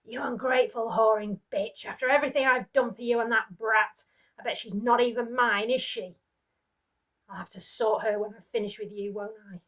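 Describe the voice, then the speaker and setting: A woman reading aloud, voicing a brutal, sneering abusive husband who taunts in a loud, snarling way. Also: mid-range voice, fairly even intonation, moderate pace, natural voice.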